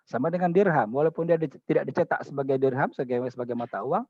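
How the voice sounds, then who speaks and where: Low-voiced Indonesian man lecturing, dull audio. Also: quick pace, dry recording. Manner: didactic, punctuates points with short check-in tags. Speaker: lecturer, guest speaker at a forum. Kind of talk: explanatory lecture on religious law.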